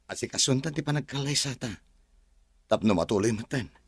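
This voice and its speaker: An actor with an Ilocano accent playing a calm, forgiving younger brother in a drama. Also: male voice, mid-range voice, brisk, husky, no echo, studio quality. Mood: reassuring. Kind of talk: drama dialogue.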